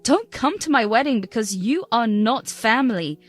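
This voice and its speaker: Lady doing a bratty young bride, high-ish voice, sharp and scornful, faint music behind. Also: big pitch swings, fairly quick. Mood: dismissive. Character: spoiled, cruel young adult bride-to-be woman.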